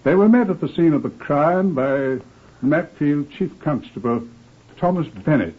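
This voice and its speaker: Formal British police narrator, old radio. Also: male voice, fairly high pitch, animated, slightly rough, narrow, tinny audio. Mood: solemn, measured. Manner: formal, deliberate, measured. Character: authoritative male police superintendent narrating crime cases. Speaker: narrator, host of crime series. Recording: thin, band-limited old radio recording.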